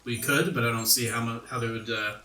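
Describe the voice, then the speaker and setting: A man's voice: mid-range voice, steady tone, fast, pushed and bright, echoey room, slight background noise. A man narrating a tabletop roleplaying game as its game master. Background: background music.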